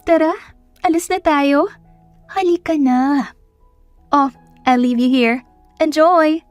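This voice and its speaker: Woman acting a bossy, high-voiced best friend. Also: slow pace, no room sound, faint music behind. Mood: playfully pushy. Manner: pushy, exclamatory. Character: bossy, bubbly young woman, the heroine's loyal best friend.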